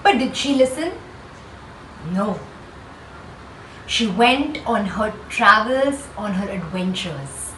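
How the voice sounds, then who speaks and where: A woman's voice: mid-range voice, expressive, slow, long pauses, a bit bright. A woman with an Indian accent speaking as a schoolteacher to children, explaining instructively with emphatic rhetorical questions. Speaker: schoolteacher hosting a read-aloud for children. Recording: roomy echo, distant mic, faint noise.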